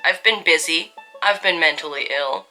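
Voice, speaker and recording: A young woman from Canada, just getting over a cold, chats through a story-time vlog with wry, self-mocking humor. Her voice sits a little low but sounds very bright and forceful. Faint music runs underneath. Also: moderate pace. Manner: chatty, wry and self-deprecating. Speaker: vlogger telling a story.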